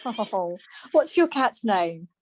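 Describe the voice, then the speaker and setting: A woman playing a brisk, plain-spoken, chatty wife in a radio play, chiding and questioning. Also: mid-range voice, sing-song, darkish tone, slight background noise.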